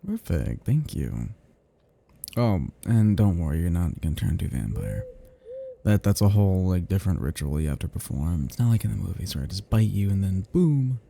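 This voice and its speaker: A man playing a low, dark-toned seductive vampire. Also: sing-song, a little hiss or hum, exaggerated voice. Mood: casually teasing. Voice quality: low, soft, breathy murmurs dropping into whispers. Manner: teasing, slow, lingering, chuckling between phrases. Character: seductive, menacing, possessive male vampire, playfully sinister. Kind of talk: intimate roleplay ASMR monologue.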